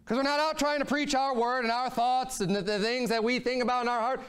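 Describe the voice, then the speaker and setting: Man in his thirties, pastor preaching at a pulpit, high tenor voice, animated delivery. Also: a little fast, clean tone. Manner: animated preaching, voice rising and falling a lot. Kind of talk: sermon. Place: church sanctuary, speaking at a pulpit.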